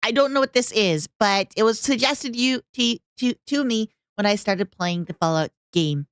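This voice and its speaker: Brief: hoarse, bubbly woman streamer, high, lively voice, dry room. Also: moderate pace, dry recording. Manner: bubbly, talks to chat, hums tunes. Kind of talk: casual livestream reaction chat.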